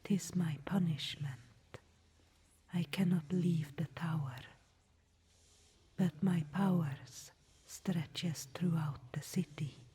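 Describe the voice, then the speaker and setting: A woman voicing an aloof ruler of a deep underground people, speaking in a formal, archaic whisper. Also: steady tone, slow pace, long pauses, warm tone, husky, exaggerated voice. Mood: solemn.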